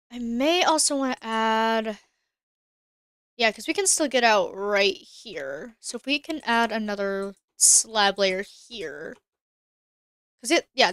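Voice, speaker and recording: Woman game streamer, a little high, bright and clear, casual running commentary with chat asides. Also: no room sound. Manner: chatty, thinks aloud, answers chat.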